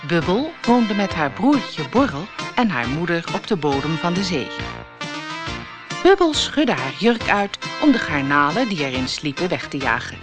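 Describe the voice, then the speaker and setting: A woman reading a scripted children's audiobook in an expressive storytelling manner. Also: on the low side, a little slow, music in the background.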